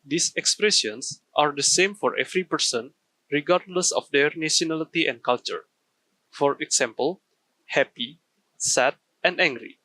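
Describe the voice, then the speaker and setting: A man's voice: a little high, slow pace, sharp tone, dry recording. A man giving a scripted technical presentation, explaining carefully step by step. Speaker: game studio artist presenting a workflow.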